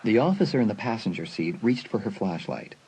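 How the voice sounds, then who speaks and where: A man's voice: lowish voice, very animated, a little fast, mellow, breathy edge. A man reading suspenseful scripted narration for a dramatised audiobook. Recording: faint music behind the narration.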